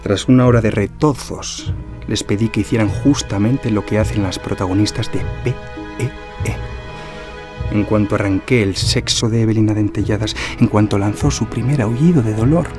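A low male voice of an articulate, self-satisfied killer , acted by a man. He confesses in a measured, formal way in a crime audio drama, over clear music. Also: natural voice. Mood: cold, proud. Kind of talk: audio fiction acting.

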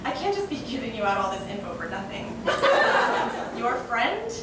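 In a very echoey room, heard from a distance, comes a high-voiced jilted young woman, played by a female reader on stage. She is bitter and boastful, oversharing in a sassy, matter-of-fact comic letter reading with pointed pauses. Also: big pitch swings, very noisy. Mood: huffy, matter-of-fact. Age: young adult. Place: small bar or cafe stage with audience.

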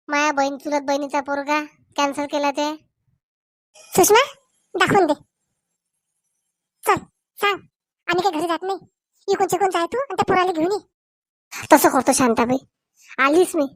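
A woman's voice: high voice, dry recording, natural voice. A woman voicing chatty, meddling village women in a Marathi comedy cartoon, with a rural Varhadi accent and colloquial, exclamatory talk. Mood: persuasive, eager. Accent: rural Maharashtra, Varhadi Marathi.

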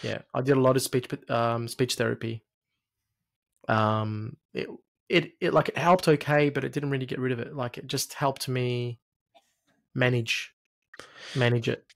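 A man in his late thirties from Egypt and Australia, a podcast guest sharing personal stories in a reflective, halting way with repeated phrases. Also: mid-range voice, creaky. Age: late 30s. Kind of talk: personal storytelling in conversation. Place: small home studio, indoors. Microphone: close podcast mic on arm.

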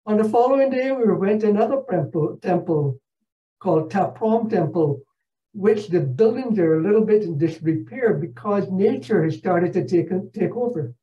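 An older man from Barbados giving a narrated travel slideshow over a video call, speaking in a measured, halting, explanatory way. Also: high-pitched, lively intonation, mellow. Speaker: presenter, retired professor. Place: indoor room at home, on a video call. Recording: dull video call audio.

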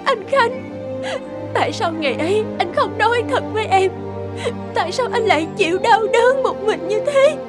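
A high-voiced, grieving wife from a cartoon melodrama. She is tender, remorseful and openly emotional. Music plays clearly behind her. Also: animated, moderate pace. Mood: anguished, remorseful. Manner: plaintive, emotional.

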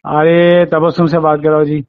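A man's voice: on the high side, little pitch movement, moderate pace, no room sound. A man talking informally on a recorded phone call, insistent and questioning. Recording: tinny narrow recorded phone line.

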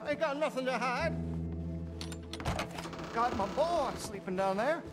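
A deranged gunsmith talks in a cloying, falsely friendly way. His high voice swoops about. Loud game music plays behind him. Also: lively intonation, measured pace. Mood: evasive. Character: deranged middle-aged male gunsmith, creepy and cloying.

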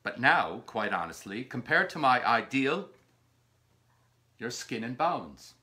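A man's voice: mid-range voice, sing-song, slow pace, bright, forceful tone, heavily acted. A man acting in a stage play as a cruel, agitated lover ending an affair, insistent and repetitive. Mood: scornful, insistent.

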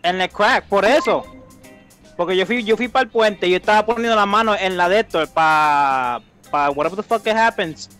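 A man in a casual online game, excitable and defensive, switching between Spanish and English as he pleads his case. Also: sits high, brisk, smooth. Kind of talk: casual gaming chat. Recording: game music behind.

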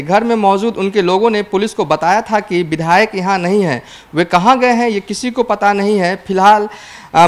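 A young man reporting the news to camera in a studio, holding a handheld mic, with a brisk, urgent newsreader delivery and occasional restarts. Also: sits high.

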